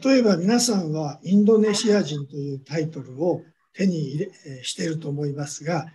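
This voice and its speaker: High mellow guy lecturing slowly, lots of long uhs. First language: Japanese. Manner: measured lecturing with drawn-out fillers. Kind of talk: academic lecture.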